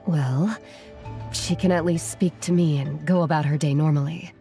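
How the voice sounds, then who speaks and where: Caring game villager, lowish pitch, gentle, apologetic, faint background music. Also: female voice, steady tone, moderate pace. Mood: apologetic, weary. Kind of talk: video game voice acting.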